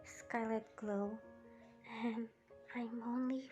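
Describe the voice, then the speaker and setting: Woman as timid, high-voiced little orphan filly. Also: fairly even intonation, darkish tone, faint music behind. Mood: shy, sad. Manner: halting, childlike, timid. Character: timid five-year-old orphan filly, sweet and innocent. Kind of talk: audio drama voice acting.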